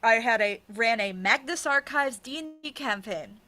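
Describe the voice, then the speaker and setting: A woman's voice: a little high, animated, normal speed, bright, forceful tone. A woman in a candid, casual interview, talking in a blunt, emphatic and often sweary way. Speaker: interviewed writer and podcast creator.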